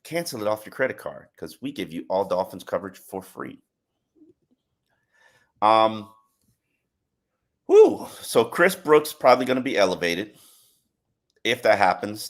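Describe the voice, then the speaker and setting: A man hosting a casual sports podcast, breaking down the team in an analytical, emphatic way. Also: sits low. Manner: analytical, emphatic, plugs the show. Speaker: podcast host.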